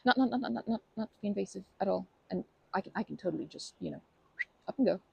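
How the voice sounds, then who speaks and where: A woman performs a timid, gentle park regular offering comfort in an intimate ASMR roleplay. The voice is soft and dark-toned, husky and full of gravelly creak. The delivery is hesitant, with stammers and nervous self-corrections throughout. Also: mid-range voice, animated, fast talker, dry recording, dull audio, full character voice. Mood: shy, concerned. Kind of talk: ASMR roleplay, improvised-sounding intimate monologue.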